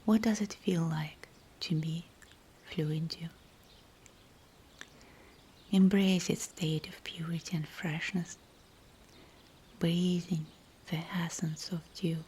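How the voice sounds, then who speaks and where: Slow whispering woman guiding meditation. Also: lowish voice, steady tone, a little hiss or hum. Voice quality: breathy whisper. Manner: soothing, hushed, unhurried phrases with pauses. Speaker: meditation guide. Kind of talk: guided visualization meditation, scripted narration.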